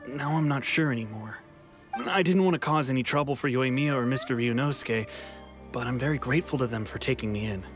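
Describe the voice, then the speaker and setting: A man voicing a soft-spoken, unsure young man in hiding who hesitates as he speaks. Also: medium pitch, brisk, lightly acted. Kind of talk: game voice acting. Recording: game audio with music behind.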